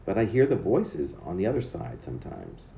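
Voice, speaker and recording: A man reading his own poem aloud in an expressive, deliberate way with emphatic phrasing. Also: deep voice, gravelly, roomy sound, dull audio. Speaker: poet reading own work. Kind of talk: poetry reading.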